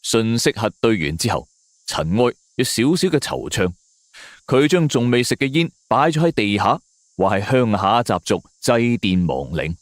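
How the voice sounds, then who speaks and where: A man's voice: fairly low pitch, sing-song, moderate pace, no echo, studio quality. A man narrating a scripted suspense story in a dramatic storytelling manner.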